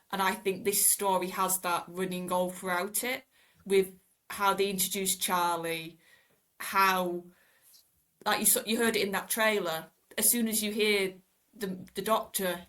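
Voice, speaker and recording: A woman's voice: mid-range voice, a bit bright. A woman on a podcast panel, casually sharing her opinions in conversation. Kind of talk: casual chat, giving opinions.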